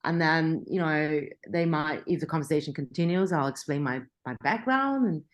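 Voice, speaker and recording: Middle-aged woman, journalist guest, slightly low voice, chatty and self-correcting. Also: moderate pace. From Australia. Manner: chatty, frequent restarts and laughter. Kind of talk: interview conversation.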